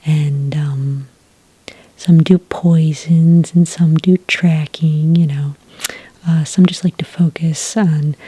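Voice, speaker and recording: A woman acting as a friendly office clerk in an ASMR roleplay, very breathy and half-whispered. She takes her time, speaking slowly and gently with patient, official politeness. The sound is close and dry. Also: low voice, no room sound, full character voice. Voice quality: breathy, soft, near-whisper. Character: friendly, patient female licensing clerk for werewolf hunters. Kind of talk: soft-spoken ASMR roleplay. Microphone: close, dry microphone.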